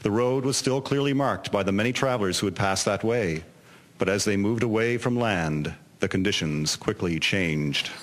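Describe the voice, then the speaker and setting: A man narrating a historical tale in a measured, solemn, scripted storytelling manner. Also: fairly low pitch, a little hiss or hum. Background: music with guitar.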